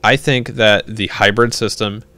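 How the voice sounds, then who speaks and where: A man's voice: fairly low pitch, steady tone, unhurried, pushed and bright, dry recording. A young man hosting a livestream, chatting casually as he answers viewer questions, rambling with lots of fillers and restarts and laughing easily. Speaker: livestream host.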